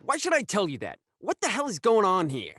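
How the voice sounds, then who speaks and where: A jumpy dealer, wildly swooping voice. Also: male voice, high voice, huge pitch swings, a little fast, fairly bright tone, exaggerated voice. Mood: hostile, suspicious. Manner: curt, agitated, confrontational. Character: jumpy, suspicious, hostile underground dealer. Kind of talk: audio drama acting, present-day thriller.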